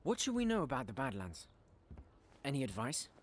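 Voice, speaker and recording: An actor playing an eager, curious young adventurer in a fantasy video game, casual and inquisitive. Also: male voice, mid-range voice, wildly swooping pitch, normal speed, a little hiss or hum. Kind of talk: video game cutscene acting.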